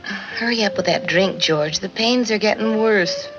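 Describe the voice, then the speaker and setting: A woman's voice: medium pitch, a little slow, close to an everyday voice. An actress playing a sarcastic wife who mocks her meek husband, in 1950s film noir style. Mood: mocking. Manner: mocking, cutting, sweetly sarcastic. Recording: dull old film soundtrack with score. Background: film music.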